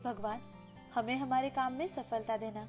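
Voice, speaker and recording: Woman voicing a high-voiced, supportive village wife. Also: steady tone, normal speed, music in the background, natural voice. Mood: prayerful. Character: supportive, devout poor village wife and mother. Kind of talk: animated moral-story dubbing.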